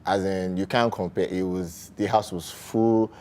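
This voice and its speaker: A man with a Nigerian accent, chatting casually as a guest on a sports talk show, relaxed and full of fillers. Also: low-pitched, unhurried. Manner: relaxed, uses fillers like "you know" and "man". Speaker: studio guest. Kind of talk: casual chat.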